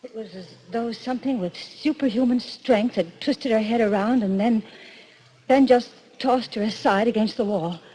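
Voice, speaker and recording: A woman's voice: fairly high pitch, moderate pace, warm tone, slightly rough. An actress playing a frightened, haunted woman in breathless, stammering 1940s radio melodrama. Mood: urgent, fearful. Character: terrified young woman haunted by a killer. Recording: noisy vintage radio broadcast.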